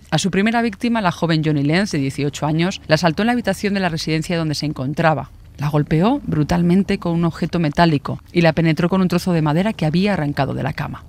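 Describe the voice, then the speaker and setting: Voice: mid-range voice, darkish tone. A narrator reading a scripted true-crime story.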